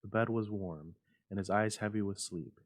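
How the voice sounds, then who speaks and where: A man's voice: lowish voice, moderate pace, no room sound, clean studio sound. A man reading a literary story aloud as a dramatic narration. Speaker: story reader.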